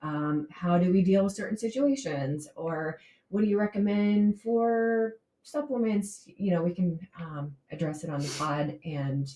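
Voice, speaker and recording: A woman's voice: lowish voice, measured pace, mellow, reverberant, poor audio. A woman co-hosting a podcast in casual chat, upbeat and encouraging toward listeners. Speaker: podcast co-host.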